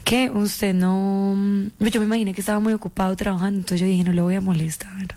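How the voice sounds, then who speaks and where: A woman's voice: medium pitch, fairly even intonation, brisk, warm tone. A woman with a Colombian paisa accent, calling on a landline and coyly making a man guess who she is. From Antioquia, Colombia. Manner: coy, insistent, keeps her identity a mystery. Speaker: caller. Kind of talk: teasing phone call. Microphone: landline phone.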